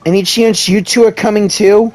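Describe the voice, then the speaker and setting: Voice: medium pitch, steady tone, normal speed, darkish tone, slight background noise, rough recording. A game player giving casual playthrough commentary, reacting aloud to story scenes.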